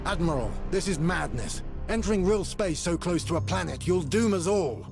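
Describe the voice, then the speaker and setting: An actor playing an alarmed, panicky ship's officer, agitated and exclaiming in a scripted game cutscene. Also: male voice, high voice, animated, normal speed, vocal fry. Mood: appalled. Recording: voice under music.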